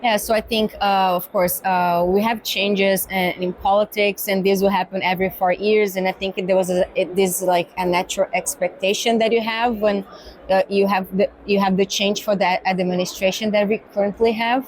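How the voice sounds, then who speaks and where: A woman's voice: medium pitch, fairly even intonation, normal speed, slight background noise, low-quality recording. Brazilian-accented woman with thoughtful, filler-filled answers. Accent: Brazilian Portuguese. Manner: thoughtful, many fillers and tag "right?". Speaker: guest, pharma cargo executive. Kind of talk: conversational interview answers. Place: exhibition hall.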